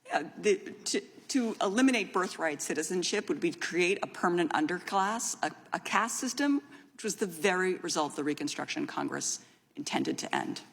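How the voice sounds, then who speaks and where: A woman's voice: on the low side, expressive, moderate pace, gravelly. A woman giving formal, assured testimony as an expert witness, explaining law with emphasis. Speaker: expert witness at a legislative hearing. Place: large indoor hearing room. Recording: reverberant hearing room.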